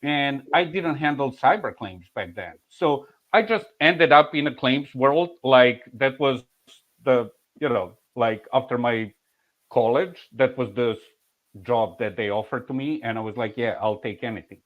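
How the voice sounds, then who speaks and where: A man in his forties from Serbia with a Serbian accent, an insurance claims manager telling his story in a relaxed interview, halting and full of restarts and soft laughs. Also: mid-range voice. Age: 40s. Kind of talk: casual interview answers. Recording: muffled, lo-fi audio.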